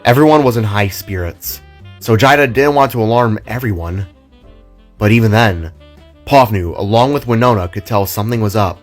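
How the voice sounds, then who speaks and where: A man narrating a scripted audio drama in a measured, theatrical storytelling manner. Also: lowish voice, soft background music. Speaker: narrator and reader of all parts.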